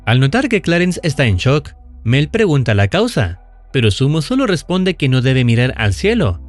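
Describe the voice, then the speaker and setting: A man reading scripted narration in a measured, explanatory storytelling way, as in a recap and analysis video. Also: mid-range voice, sing-song. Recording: music under the voice. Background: background music.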